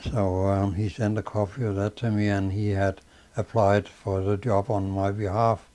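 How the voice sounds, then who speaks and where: An older man with a Danish accent, a native Danish speaker, recalling his life in a slow, halting way with many pauses and fillers. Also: lowish voice, little pitch movement, dark tone. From Denmark. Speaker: father being interviewed. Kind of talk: casual reminiscing interview.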